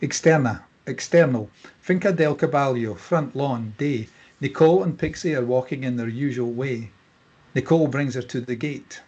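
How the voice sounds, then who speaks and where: A man's voice: mid-range voice, moderate pace, a little mellow, husky, highs cut off. A man narrating the stage directions at a screenplay table read, in a scripted narration style.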